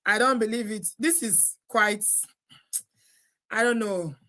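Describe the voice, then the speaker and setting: Nigerian lady live streaming, laughs a lot, bright punchy voice. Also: fairly high pitch, takes it slowly. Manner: chatty, laughs often, exclamatory. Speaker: host. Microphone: live stream setup.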